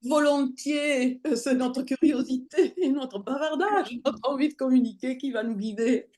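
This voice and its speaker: A woman as an interview guest, telling her story conversationally, lively and often pausing with fillers as she searches for words. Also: high-pitched. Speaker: interview guest, holistic practitioner.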